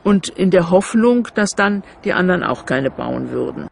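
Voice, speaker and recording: A man's voice: sits high, animated, normal speed, creaky, slight background noise. An older man recollecting in an interview with hesitant, broken phrasing.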